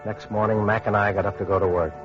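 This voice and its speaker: A man's voice: fairly low pitch, flat delivery, quick pace, lightly acted. An actor playing a sober, caring survivor who narrates his hardship plainly in an old-time radio drama. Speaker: narrator and character. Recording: dull vintage radio recording, faint music.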